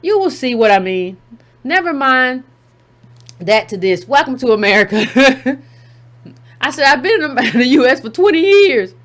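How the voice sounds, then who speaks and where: A woman with a somewhat high-pitched voice reads a passage aloud to her audience. She stops often to comment in her own words, and she repeats key phrases to drive them home. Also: sing-song, unhurried, pauses often, a bit bright. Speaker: commentary channel host. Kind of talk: reading a text aloud with running commentary.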